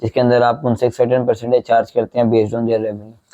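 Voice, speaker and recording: A man's voice: mid-range voice, little pitch movement, brisk, dark tone, highs cut off. A man interviewing a guest, asking questions conversationally with short acknowledgements.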